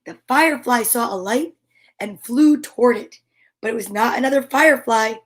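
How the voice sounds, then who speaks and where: A woman's voice: a little high, normal speed. A woman hosting a children's storytime, reading a picture book aloud expressively with chatty asides. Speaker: storyteller and host.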